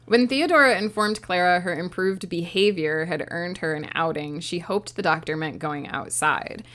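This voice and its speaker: A woman's voice: lowish voice, normal speed, fairly bright tone. Sniffly woman reading her novel draft. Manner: stumbles and restarts lines, corrects herself. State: sniffly, stuffy nose. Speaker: author and streamer. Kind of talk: reading her own novel draft aloud, with casual asides. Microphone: close desk microphone.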